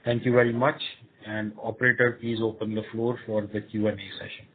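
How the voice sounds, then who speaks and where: A man with an Indian accent speaking as a company executive on an earnings call, in a formal, measured manner. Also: fairly low pitch, little pitch movement, slightly rough. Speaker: company executive presenting results. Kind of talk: earnings call presentation and answers. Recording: muffled, narrow phone conference line.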